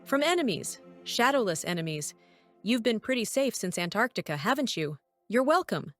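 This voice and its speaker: A woman's voice: fairly high pitch, big pitch swings, moderate pace, faint music behind. A woman playing a cold, sardonic maid and secret killer in an audio drama, speaking in a cool, commanding way.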